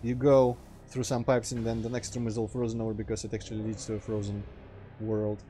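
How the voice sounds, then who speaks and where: A man's voice: lowish voice, little pitch movement, fairly quick, warm tone, soft background music. A man from Russia, a native Russian speaker with a mild Russian accent, giving casual, dry commentary as he plays a video game. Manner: dry, sarcastic asides. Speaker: gameplay commentator. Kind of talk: casual let's play commentary.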